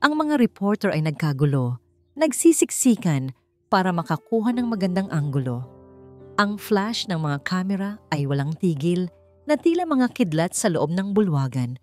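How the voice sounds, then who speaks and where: A woman narrates a dramatic story in a scripted audiobook style. Her voice sits on the low side for a woman. Music plays softly behind her. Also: sing-song, normal speed, no room sound.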